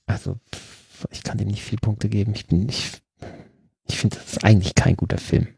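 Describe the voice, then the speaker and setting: A man's voice: on the low side, steady tone, moderate pace, creaky, a little mellow, slightly rough, no room sound. A man chatting casually about films with friends, talking in a loose, hesitant way with many fillers and small laughs. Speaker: podcast co-host.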